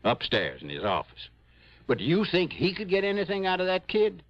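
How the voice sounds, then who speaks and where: An actor playing an aging small-town police chief, folksy, stubborn and grumbling, in an old-time radio drama. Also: male voice, high-pitched, huge pitch swings, fairly quick, heavily acted. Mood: indignant. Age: older. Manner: folksy, grumbling, mangles big words. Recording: dull vintage radio recording.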